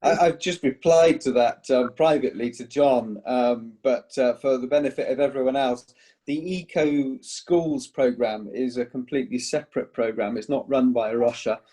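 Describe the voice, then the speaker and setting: A man's voice: mid-range voice, moderate pace, mellow, husky. A man answering a question in an online meeting, hesitant, with many fillers, heard over a video call. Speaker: eco-church scheme speaker answering a question.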